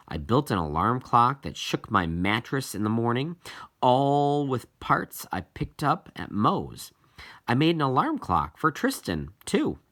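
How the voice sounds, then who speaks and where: A man reading a children's novel aloud as scripted narration, with deliberate, halting phrasing. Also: mid-range voice, a bit bright. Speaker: audiobook reader.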